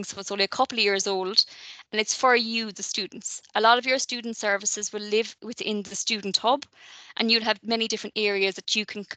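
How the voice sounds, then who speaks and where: A woman's voice: mid-range voice, pushed and bright, no room sound. A woman giving a friendly, chatty presentation to prospective university students, with many ums and "okay?" check-ins.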